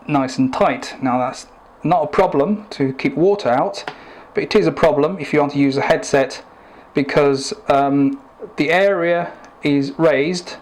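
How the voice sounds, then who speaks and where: A man narrating an informal product review, explaining things in a hesitant, halting way. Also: medium pitch.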